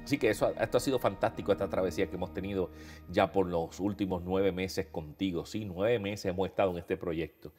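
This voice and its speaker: A man in his fifties with a Puerto Rican accent, speaking as a pastor to his online viewers, sounding nasal after a nose procedure and talking in a relaxed, chatty way with many fillers. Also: low voice, fairly quick, faint music behind. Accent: Puerto Rican Spanish. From Puerto Rico. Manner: warm, conversational, addresses viewers as beloved, frequent fillers. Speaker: pastor hosting an online devotional show. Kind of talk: casual devotional talk to viewers. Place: indoors before a holiday backdrop.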